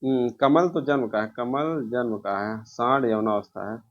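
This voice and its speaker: A man teaches in a medium-pitched voice. He goes through exam questions informally, posing each one and then explaining the answer himself. The recording is dull and lo-fi, with a muffled top end. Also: normal speed. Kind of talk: informal lesson walking through quiz questions.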